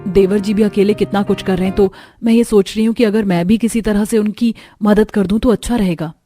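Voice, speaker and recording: A woman voicing a gentle, hardworking elder daughter-in-law in a cartoon story, earnest and soft-spoken. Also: medium pitch, steady tone, fairly quick, faint music behind, natural voice. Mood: hesitant, explaining. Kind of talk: Hindi cartoon story dialogue.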